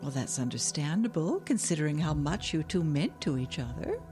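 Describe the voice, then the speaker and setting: A woman's voice: deep voice, big pitch swings, a little fast, faint music behind. An actress playing a motherly, theatrical older woman in an audio drama, with a dramatic, solicitous manner.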